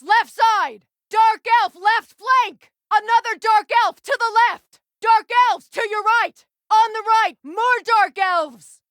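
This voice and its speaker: A woman's voice: very high-pitched, fairly bright tone, dry recording, full character voice. An actress playing a fierce, commanding warrior woman archer, delivering combat callouts for a video game. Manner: commanding, urgent battle callouts with dry asides.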